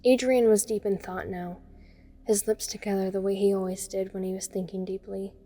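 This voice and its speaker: An actress plays a frightened young woman narrating a horror audio drama in the first person. Her voice is mellow and relaxed in timbre, and her pitch stays in a narrow, level band. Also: normal speed, lightly acted. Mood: suspenseful. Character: frightened young woman recounting being trapped in horror story.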